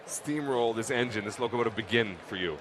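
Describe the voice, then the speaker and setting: A man hosting an interview, asking questions in an enthusiastic way and chiming in with quick agreement. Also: mid-range voice, background noise. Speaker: talk show host.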